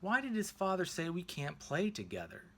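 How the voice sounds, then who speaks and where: Man reading as an earnest, animated young boy. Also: high voice, moderate pace, slight background noise, pitched above the performer's own voice, full character voice. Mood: puzzled.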